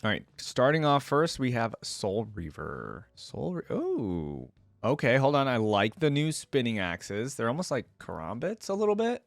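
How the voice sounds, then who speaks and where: Animated man reacting casually, dry close sound. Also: mid-range voice, measured pace, vocal fry, no room sound. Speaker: reaction video host.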